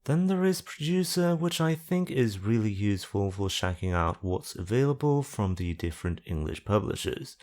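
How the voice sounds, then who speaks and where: Low-voiced man narrating a screen tutorial. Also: moderate pace, hardly any pauses. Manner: steady, explanatory, lists options one by one. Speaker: video guide host. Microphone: voice-over over screen capture.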